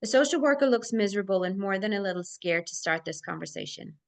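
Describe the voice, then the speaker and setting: A woman's voice: medium pitch, expressive, fast talker. A woman reading stage directions aloud as narrator at a screenplay table read.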